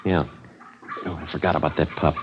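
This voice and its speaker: An actor playing a terse, businesslike police detective in 1950s American radio crime drama, giving flat, matter-of-fact orders. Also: male voice, a bass voice, a little fast. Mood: low, businesslike. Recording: muffled, hissy 1950s radio recording.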